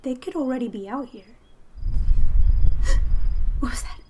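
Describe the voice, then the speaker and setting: A woman's voice: sits high, a little slow, dark tone, husky. An actress playing a frightened, sardonic woman lost alone in the desert, muttering to herself in an audio drama. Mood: scared, self-soothing. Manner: muttering to herself, breaking into whispers and desperate calls.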